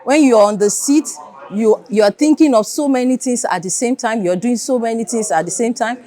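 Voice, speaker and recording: Higher-pitched woman. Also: fairly quick, warm tone. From Nigeria. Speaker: air traffic controller. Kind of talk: interview answer.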